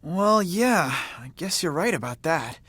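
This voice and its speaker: Game role: good-natured teen boy traveler, plain-spoken and slangy, animated delivery, clean studio sound. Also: mid-range voice, unhurried, breathy edge, no room sound, heavily acted. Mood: earnest. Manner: casual, slangy, straight-talking. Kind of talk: English video game dub acting.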